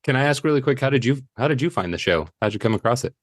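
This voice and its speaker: A man's voice: medium pitch, expressive, rapid-fire, no room sound, clean studio sound. An American man chatting casually and enthusiastically as a podcast guest. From United States. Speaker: podcast guest, audio drama creator. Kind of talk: casual chat.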